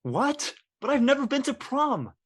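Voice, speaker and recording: Man playing an excitable, animated teenage boy. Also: extremely high pitch, unhurried, husky, heavily acted. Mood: alarmed. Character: teenage boy, eager, excitable, cocky, teasing. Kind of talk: live audio drama table read.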